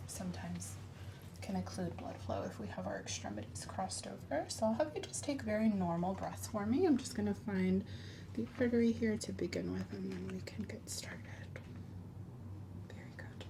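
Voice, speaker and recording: A soft-spoken woman who often drops into a breathy whisper, gently explaining each step as a medical examiner in an ASMR roleplay. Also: medium pitch, very animated, normal speed, noisy background.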